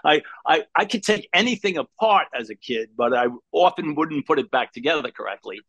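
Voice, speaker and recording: A man with a thick Brooklyn accent and a fairly high voice rambles happily through his story as a podcast guest. He cuts himself off and starts phrases over as he goes. The recording is dry and close. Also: a little fast, breathy edge, no room sound, lo-fi sound. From New York. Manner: lively, rambling storyteller with self-interruptions. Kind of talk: casual interview storytelling.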